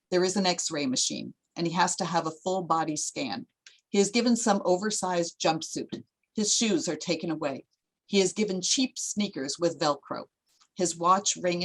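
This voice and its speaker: A woman narrates a screenplay at a table read. Her voice is fairly low. She reads the scene directions plainly and descriptively. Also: rough recording.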